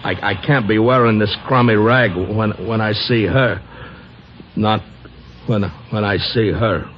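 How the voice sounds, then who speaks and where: An actor playing a sweet, slow, down-and-out American boxer in an old radio drama, stammering and repeating himself in a childlike, pleading way. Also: male voice, on the low side, close to an everyday voice. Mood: weary, insistent. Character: sweet, slow, punch-drunk down-and-out boxer, gentle and anxious. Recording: dull, noisy old radio recording.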